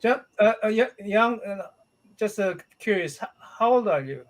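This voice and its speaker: An older man in his sixties hosts a relaxed interview. He stammers and repeats himself as he asks his questions, and his voice is high for a man. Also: normal speed. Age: 60s. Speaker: host interviewer. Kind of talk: casual interview.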